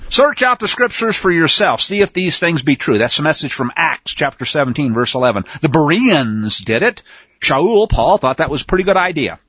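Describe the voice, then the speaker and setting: A man's voice: a little high, lively intonation, normal speed, fairly bright tone, slightly rough, no echo, lo-fi sound. A man teaching from the Bible in a folksy, emphatic way, with sarcastic asides and frequent restarts. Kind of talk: folksy scripture commentary.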